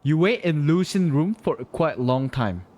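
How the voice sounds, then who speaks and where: A man's voice: a little high, big pitch swings, normal speed, darkish tone. A man live-streaming a video game, reacting to the story with informal comments and short interjections. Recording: game music behind.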